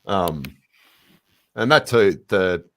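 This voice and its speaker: A man's voice: sits low, lively intonation, very slow, a bit bright. A man guest in a casual political chat, blunt and swearing freely.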